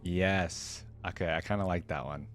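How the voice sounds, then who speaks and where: A woman's voice: rumbling low, fairly even intonation, normal speed, faint music behind. A woman hosting a reaction video, talking in a casual, musing, conversational way. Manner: musing, conversational, often echoes lines back.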